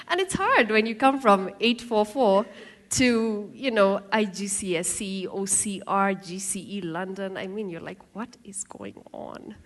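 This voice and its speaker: A woman in her thirties from Kenya with a Kenyan accent, telling her life story in a lively talk with asides and acted-out quotes. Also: fairly high pitch, a little hiss or hum. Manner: lively, laughing, acts out quoted voices. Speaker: speaker giving a talk.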